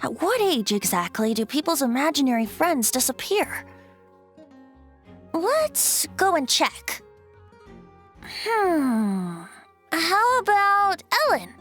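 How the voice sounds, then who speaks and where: A boyish bard with a high, melodic voice that swings freely. The delivery is theatrical, musing and playfully teasing, as video game acting with music underneath. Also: female voice, sing-song, slow pace, pauses often, fairly bright tone, exaggerated voice. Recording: music behind the voice.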